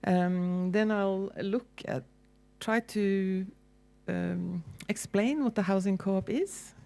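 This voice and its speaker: A woman with a Norwegian accent giving a relaxed, conversational public lecture as a university lecturer, with frequent ums and restarts. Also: medium pitch, slow pace, vocal fry. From Norway.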